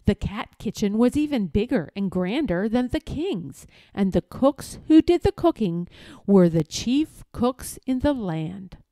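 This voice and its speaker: A woman narrates a children's story with a very expressive, swooping delivery. Her tone is soft and mellow, and the recording is close and dry. She phrases deliberately, like a storyteller. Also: medium pitch, no room sound. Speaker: read-aloud storyteller.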